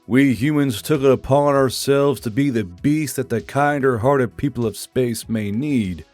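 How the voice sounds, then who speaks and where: Man acting a slow, weary veteran drill sergeant. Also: fairly low pitch, darkish tone. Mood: solemn, regretful. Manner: grave, deliberate speechmaking. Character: weary, solemn veteran male drill sergeant recounting an alien war. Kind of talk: dramatic audiobook character reading.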